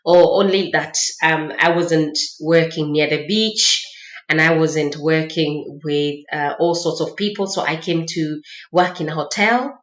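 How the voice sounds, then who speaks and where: Female vlogger, Kenyan accent, lowish voice, informal anecdotal delivery, echoey lo-fi home-style recording. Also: unhurried. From Mombasa, Kenya. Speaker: vlogger sharing personal experiences. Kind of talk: casual personal storytelling. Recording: roomy echo, dull lo-fi sound.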